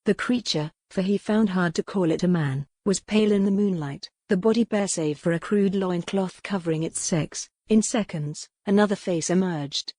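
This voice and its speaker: A woman's voice: fairly low pitch, moderate pace, hardly any pauses, dark tone, no echo, studio quality. A woman reading scripted dramatic fiction as an audiobook narrator.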